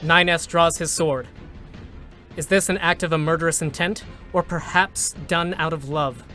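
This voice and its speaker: A male narrator with a fairly high-set voice reads dramatic script solemnly. His phrases are short and deliberate, measured out over faint music. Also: fairly even intonation, pushed and bright.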